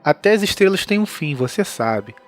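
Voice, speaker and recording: A man's voice: high voice, sing-song, moderate pace, soft background music. A man playing a reassuring father from the future in an audio drama. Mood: matter-of-fact. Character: reassuring futuristic father explaining to his children.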